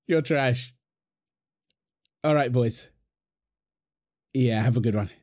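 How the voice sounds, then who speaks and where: Slow-paced, affectionate British husband played by a man. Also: mid-range voice, a little mellow, natural voice. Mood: upbeat, joking. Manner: casual, teasing, chuckling, repeats short phrases. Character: affectionate, playful, apologetic husband, gamer with his mates. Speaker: audio roleplay voice actor. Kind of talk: intimate boyfriend-style audio roleplay, naturalistic.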